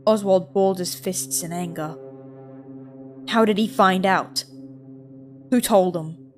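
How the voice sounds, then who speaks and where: A woman's voice: medium pitch, slow, soft background music. A woman reading scripted fiction aloud as the story's narrator.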